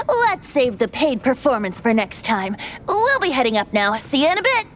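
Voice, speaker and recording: A woman's voice: high voice, huge pitch swings, measured pace, fairly bright tone, exaggerated voice. A woman voicing a sly, carefree, youthful boy bard in an anime video game, breezy and theatrical. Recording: faint music behind.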